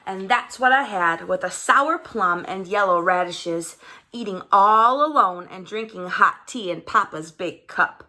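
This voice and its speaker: A woman reading a children's story aloud in an expressive, dramatized way. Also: mid-range voice, a little slow. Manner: animated, dramatized delivery with character voices. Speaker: storybook reader.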